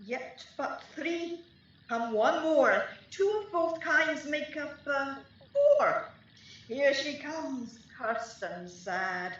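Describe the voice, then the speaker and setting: A woman's voice: high-pitched, lively intonation, drawn-out words, fairly bright tone, exaggerated voice. A woman acting a mischievous, taunting fairy sprite in a Shakespearean radio play, speaking sing-song rhymed verse. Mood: sly incantation. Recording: roomy, echoing, distant, slightly dull.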